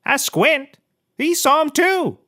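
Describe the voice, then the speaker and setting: A man's voice: very high-pitched, big pitch swings, slow, bright, forceful tone, heavily acted. An actor playing a shameless, boozy private investigator in a scripted comedy, rambling and oblivious as he gives courtroom testimony. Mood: offhand. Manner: rambling, casually confessing, oblivious.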